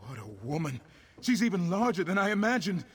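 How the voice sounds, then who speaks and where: A man voicing a gruff warehouse sentry with a husky voice in a fantasy game. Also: high-pitched, big pitch swings, fairly quick, slight background noise. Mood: awed. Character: gruff warehouse sentry, awestruck fan of a warrior. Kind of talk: fantasy video game character acting.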